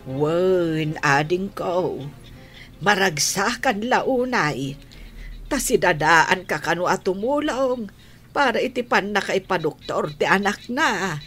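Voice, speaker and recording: A woman with an Ilocano accent playing a gentle, grateful older sister in a radio drama. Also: on the low side, lively intonation, slow pace, faint music behind.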